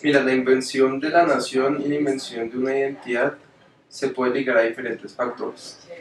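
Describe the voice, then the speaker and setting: A young man, a gastronomy lecturer calmly explaining ideas in an interview in a small office. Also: mid-range voice, normal speed, echoey room, recorded from a distance, poor audio. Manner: explanatory, academic, casual slang. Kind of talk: interview answers.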